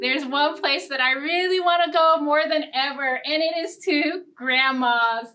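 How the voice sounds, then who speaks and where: Higher-voiced American woman hosting children's storytime. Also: measured pace, recorded from a distance, faint music behind. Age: young adult. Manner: animated, addressing young children. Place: indoor storytime set with backdrop.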